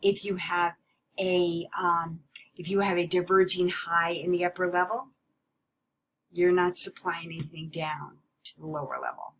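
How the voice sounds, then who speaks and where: A woman giving an explanatory lecture as a teacher, in a deliberate, emphatic way that repeats key points. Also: fairly low pitch, steady tone, fairly bright tone, slight background noise, poor audio. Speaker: meteorology teacher.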